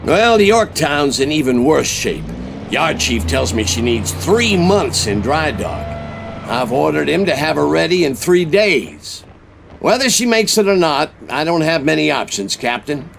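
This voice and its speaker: An actor playing a gruff, dry-witted senior American navy commander, wry and authoritative, in a game cutscene. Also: male voice, fairly high pitch, very animated, a little slow, a bit bright, a little hiss or hum, exaggerated voice. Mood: grave, decisive. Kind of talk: dramatic game cutscene dialogue.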